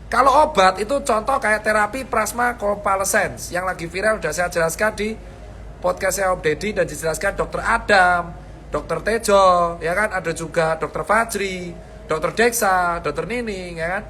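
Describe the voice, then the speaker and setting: High, bright-voiced man with a fierce, blunt delivery. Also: normal speed, slight background noise. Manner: slangy, calls listeners boss and bro. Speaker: vlogger giving health advice. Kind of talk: casual direct-to-camera talk.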